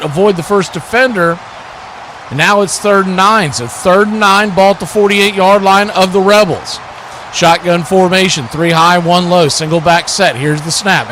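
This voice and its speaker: High-pitched man calling football, noisy background. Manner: clipped running description, rising to excited calls on action. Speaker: play-by-play sports commentator, watch party host. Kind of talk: live American football play-by-play commentary.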